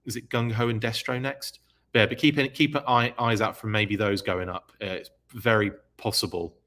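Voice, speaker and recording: A man with a slightly low voice hosts a live news recap stream. He rambles casually and fills his pauses with ums and uhs. His voice is starting to give out. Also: fairly even intonation, a bit bright. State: losing his voice. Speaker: podcast host.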